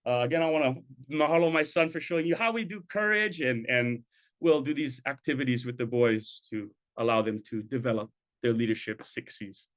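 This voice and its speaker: A man's voice: mid-range voice, a little fast. A middle-aged man presents a talk over a video call, speaking conversationally and checking in with tag questions. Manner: conversational, tag questions, sprinkles in Hawaiian words. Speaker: program presenter. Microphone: video call webcam.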